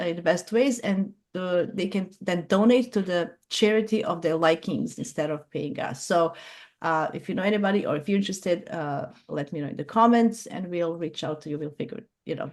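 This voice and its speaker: A middle-aged woman from Croatia, hosting a casual group video call on astrology and talking haltingly, with many fillers and restarts as she searches for words. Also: lowish voice.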